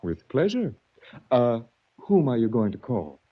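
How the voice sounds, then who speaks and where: An actor playing a smug, menacing villain with mocking courtesy in a 1940s radio mystery. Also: male voice, medium pitch, huge pitch swings, measured pace. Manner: mockingly courteous, taunting, articulate. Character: smooth, smug, menacing villain framing his captive. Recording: dull vintage 1940s radio recording.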